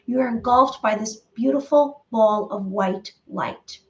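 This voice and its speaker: A woman's voice: fairly high pitch, distant mic, highs cut off. Woman meditation guide, soothing repetitive delivery, slightly off-mic. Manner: slow, repetitive, soothing guidance with pauses.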